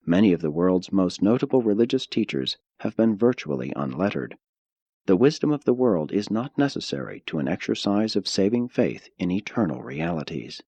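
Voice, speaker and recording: A man with a deep voice reads formal prose as an audiobook narrator. His delivery is measured and solemn, yet his pitch moves widely through each phrase. The sound is dry and close, with very little room around it. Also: very animated, warm tone, breathy edge, dry recording.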